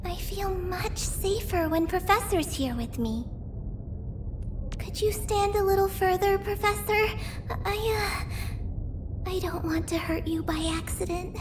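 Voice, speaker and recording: A shy, earnest young researcher for a video game. Her voice is soft, girlish and extremely high, and she speaks slowly and politely with a bookish hesitance. Clear ambient noise sits behind her. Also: exaggerated voice. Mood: flustered, shy. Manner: polite, hesitant, stammering when flustered. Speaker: game character voice actor. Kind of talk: video game character voice lines.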